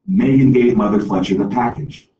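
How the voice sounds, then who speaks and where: A man's voice: mid-range voice, moderate pace. A man reading a story aloud as a scripted narration. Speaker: storybook reader. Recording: echoey distant room, dull, faint noise.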